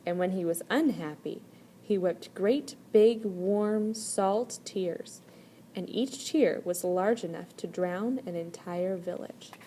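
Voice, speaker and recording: Mellow woman reading a children's story, noisy recording. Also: fairly low pitch, slow pace. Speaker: storyteller reading a picture book aloud. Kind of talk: expressive children's story read-aloud. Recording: clear background noise.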